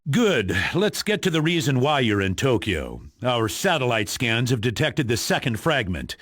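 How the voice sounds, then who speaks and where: Serious male agency chief for a game, authoritative and formal, with dramatic pitch movement. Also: very animated, moderate pace, fairly bright tone, dry recording, clean studio sound. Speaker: mission commander. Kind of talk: video game voice acting.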